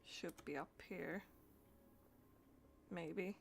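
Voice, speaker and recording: A woman's voice: fairly low pitch, fairly even intonation, extremely slow, gravelly, a little hiss or hum. A woman streaming a game, reading dialogue aloud and chatting as she plays, with stumbles and repeated words.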